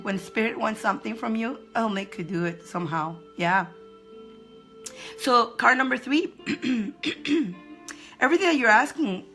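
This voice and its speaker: A woman's voice: mid-range voice, sharp tone. A woman hosting a casual live-stream card reading, chatty and upbeat. She greets viewers by name, laughs often and repeats phrases for emphasis.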